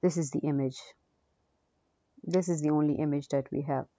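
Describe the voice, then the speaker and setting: Low, dark-voiced woman lecturing. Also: steady tone, normal speed, no room sound. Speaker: radiology lecturer. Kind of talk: unscripted case-review lecture. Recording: dull, dry lo-fi audio.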